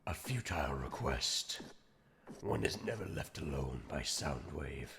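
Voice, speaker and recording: A man's voice: a bass voice, animated, unhurried, noisy background, full character voice. A man playing a commanding, brooding robot warlord in a fan comic dub, with grandiose, menacing, theatrical oratory. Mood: cold, menacing. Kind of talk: dramatic villain monologue and narration, fan comic dub.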